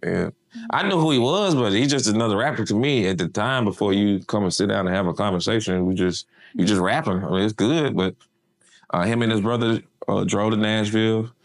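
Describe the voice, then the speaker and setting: Deep-voiced memphis dude, chill and rambling, lots of uh and um. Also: male voice, a little fast. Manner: laid-back, slangy, rambling with fillers. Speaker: artist manager and DJ. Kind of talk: casual interview chat.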